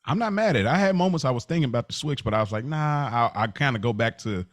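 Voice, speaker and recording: Male podcast co-host, medium pitch, expressive melody, informal slangy delivery that restarts and rephrases, dry sound. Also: racing, no room sound. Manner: informal slangy speech, often repeating and rephrasing as he explains. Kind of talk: casual chat.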